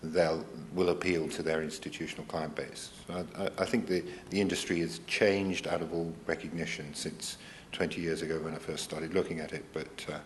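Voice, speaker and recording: Man, middle-aged, very deep, fluent, businesslike, faint background noise. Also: fairly even intonation, a little fast. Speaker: panelist at a finance conference. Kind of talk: panel discussion.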